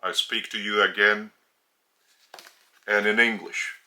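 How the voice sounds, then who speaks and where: Slow, bright-voiced Lithuanian-accented man teaching. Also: fairly low pitch, flat delivery. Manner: deliberate, halting short phrases. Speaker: spiritual teacher. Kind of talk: religious teaching talk.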